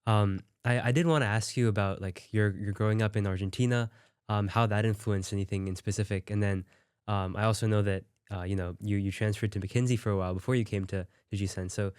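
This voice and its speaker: A man's voice: lowish voice, fairly even intonation, brisk, a little mellow, clean tone, no room sound, clean studio sound. A young man hosting an interview, friendly and enthusiastic, with lots of "um"s and restarts. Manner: friendly, filler-heavy, enthusiastic. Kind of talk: casual interview.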